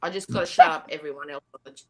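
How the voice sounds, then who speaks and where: A woman's voice: sits low, racing, fairly bright tone. A woman on a casual livestream panel, teasing the others and speaking to the chat.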